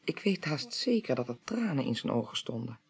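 A woman playing a composed, probing investigator who narrates a radio crime drama in a measured, polite, quietly insistent way. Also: very deep voice, sing-song, gravelly, breathy edge, exaggerated voice. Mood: narrating, observant. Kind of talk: 1980s Dutch radio play acting.

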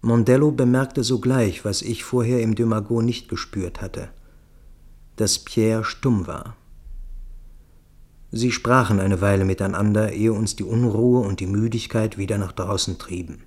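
A man reading scripted literary narration for a radio play in a measured, precise way. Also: on the low side, fairly even intonation, darkish tone.

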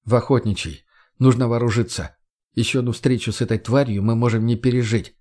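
A man playing a self-assured, curt gang leader in an audiobook, speaking in a dry, calm, crude way. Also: mid-range voice, fairly quick, natural voice. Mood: resigned, firm. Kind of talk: audiobook character voice.

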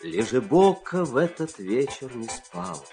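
A theatrical folk-tale storyteller and farmer in an audio play. The pitch swings widely in an expressive delivery, at a middling register, with music underneath. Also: male voice, unhurried, vocal fry. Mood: animated storytelling.

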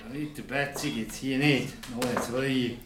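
An actor in a radio play playing a stolid, suspicious village innkeeper, blunt in manner, with a Swiss German accent. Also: male voice, mid-range voice, fairly even intonation, unhurried, background noise, natural voice. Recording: echoey room.

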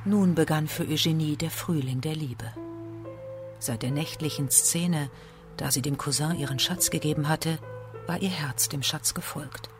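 A man's voice: a little high, measured pace, over music. A man reading scripted literary narration as a narrator in a radio drama.

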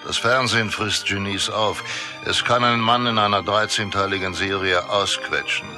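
An actor playing a blunt American friend who gives emphatic career advice in a radio play. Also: male voice, lowish voice, steady tone, normal speed, over music, natural voice. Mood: urgent warning.

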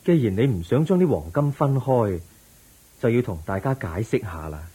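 An actor playing the Buddha as a calm, patient teacher in a radio drama, measured and instructive, with a Hong Kong Cantonese accent. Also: male voice, fairly low pitch, slightly rough.

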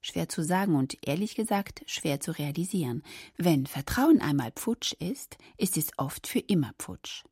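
A woman's voice: deep voice, lively intonation, unhurried, a little mellow, slightly rough, no echo, clean studio sound. A woman presenting on radio with conversational, musing commentary and rhetorical questions.

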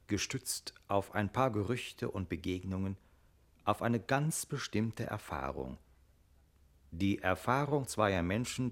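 A sober narrator in a literary radio play. He has a deep voice and a very slow, deliberate delivery that sounds reflective and thoughtful. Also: heavily acted. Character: sober, reflective male narrator introducing a tragic tale.

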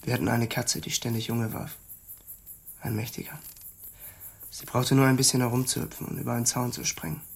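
An actor playing a haunted, simple country man in a radio drama, halting and hushed, with a gravelly, husky voice. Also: medium pitch, flat delivery, lightly acted. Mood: haunted, remorseful.

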